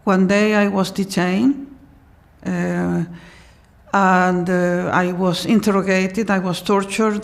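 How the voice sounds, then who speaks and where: A woman's voice: fairly low pitch, gravelly. An older woman, giving personal testimony as a documentary interviewee. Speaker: documentary interviewee, former university tutor. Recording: guitar music behind the voice.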